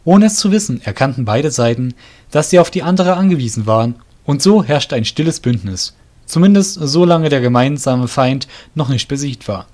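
A man narrating a scripted fantasy audio drama in a measured storybook manner. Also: medium pitch, lively intonation, darkish tone.

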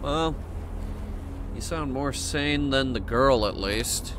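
A man's voice: mid-range voice, slow, noisy background. A man doing casual live game commentary as a streamer, chatting to viewers in a wry, matter-of-fact way.

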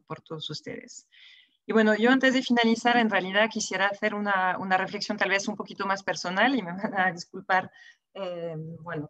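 A woman in her forties from France, speaking Spanish with a French accent, giving measured, formal remarks over a video call. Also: medium pitch, a bit bright, dry recording. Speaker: seminar speaker. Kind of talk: formal closing remarks at an online seminar. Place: small indoor room. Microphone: wired earphone mic on a video call. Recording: dull, lo-fi video call audio.

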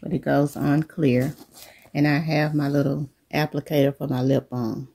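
Middle aged woman w a cold, gravelly and mellow, chatting thru her purse stuff at home. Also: low-pitched, a little hiss or hum. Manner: relaxed, unhurried, addresses viewers as y'all. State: coming down with a cold. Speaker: vlogger. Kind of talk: casual show-and-tell chat. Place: living room, indoors.

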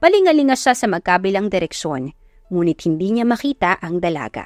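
A woman's voice: lowish voice, sing-song, a little fast, no echo, faint music behind. A woman narrating a scripted romance audio story as its storyteller.

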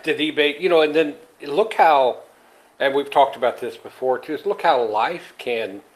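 A man's voice: on the high side, normal speed, rough recording. A middle-aged man talks in an easygoing, folksy way, rambling through his thoughts. He co-hosts a casual podcast from a small room, speaking into a headset on a video call. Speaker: podcast co-host.

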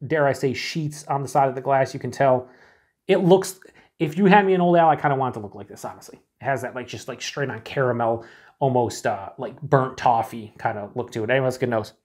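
A middle-aged man reviewing a beer alone to camera in a small room. He talks in a chatty, excited way, swears freely and sometimes drops to breathy whispers. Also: medium pitch, brisk. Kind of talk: casual solo review to camera.

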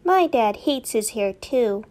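A woman's voice: a little high, expressive, takes it slowly, a little mellow. A woman voicing a playful, scheming little girl in an amateur comic dub.

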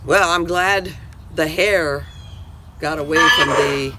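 An older woman presents a read-aloud for young children in an animated, slow-paced way. She is recorded outdoors on a porch by a camera a few feet off, with loud noise around her. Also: sits low. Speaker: storytime host. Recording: clearly noisy outdoor camera sound.

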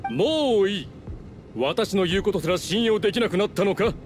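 A man's voice: high voice, animated, normal speed, heavy background noise. A man voicing a haughty, scheming nobleman in a Japanese video game, speaking formally and condescendingly. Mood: indignant, commanding. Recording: game music behind the voice.

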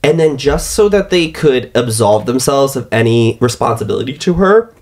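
Young man, halting podcast chatter. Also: medium pitch, lively intonation, a little mellow. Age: 20s. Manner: halting, peppered with "like". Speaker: podcast co-host. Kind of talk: casual conversational podcast chat. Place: small tiled bathroom, indoors. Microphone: podcast mic on boom arm, close.

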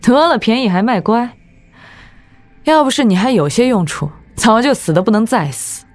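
A woman playing a proud, formidable martial-arts demon lord in an audio drama, with an imperious, measured delivery. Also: fairly high pitch, lively intonation, darkish tone, dry recording, faint music behind, lightly acted. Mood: wry, haughty.

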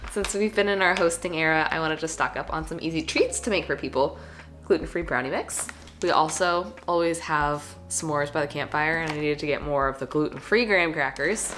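A woman's voice: deep voice, a little hiss or hum. Stuffy, chatty young woman. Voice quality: slightly raspy. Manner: chatty and upbeat, trailing into soft asides. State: stuffy, congested. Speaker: lifestyle vlogger. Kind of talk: casual chat to camera. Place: living room and kitchen at home. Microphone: camera at close range.

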